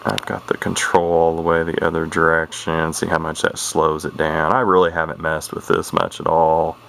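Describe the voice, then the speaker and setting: Middle-aged male hobbyist, very low voice, plainspoken and rambling, casual demo over clear radio noise. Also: completely flat delivery, moderate pace, fairly bright tone. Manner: folksy, plainspoken, hesitant and rambling. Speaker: vintage radio hobbyist and demonstrator. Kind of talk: casual unscripted product demonstration. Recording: noisy, with radio static behind. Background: CB radio noise from its speaker.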